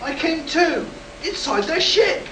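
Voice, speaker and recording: An actor playing a shaken, insistent test pilot in a live sci-fi radio play, with dramatic, urgent delivery. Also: male voice, very high voice, lively intonation, slow pace, heavily acted. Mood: tense recounting. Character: agitated, insistent rocket test pilot shaken by an alien encounter. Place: live performance in a room at a convention. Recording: roomy, distant, noisy live convention stage recording.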